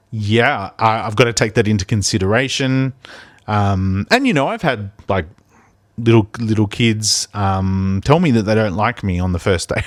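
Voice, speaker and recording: A man's voice: fairly low pitch, no room sound, studio quality. Rambling man with a big voice. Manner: rambling, many ums and restarts. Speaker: primary school teacher and podcast host. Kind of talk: reflective casual podcast chat.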